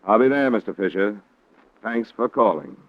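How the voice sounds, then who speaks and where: Hard-boiled American private investigator for vintage radio crime drama: low, world-weary, dry and clipped, muffled old recording. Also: male voice, lively intonation, moderate pace. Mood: curt, businesslike. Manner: terse, dry, pointed questioning. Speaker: lead actor and narrator. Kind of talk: 1940s-50s radio detective acting.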